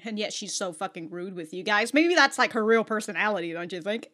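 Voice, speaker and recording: A woman's voice: medium pitch, animated, fairly quick, pushed and bright. A woman giving casual, slangy reaction commentary and swearing freely as the host. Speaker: host of an anime reaction show.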